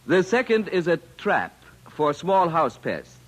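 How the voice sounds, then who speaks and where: Alien official, 1950s radio drama: pompously formal, precise, husky, very animated intonation. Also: male voice, on the high side, a little slow. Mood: instructing. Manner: stiffly formal, precise foreign-sounding phrasing without idiom. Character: formal, precise, smug male alien official overseeing a contest. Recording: vintage 1950s radio recording.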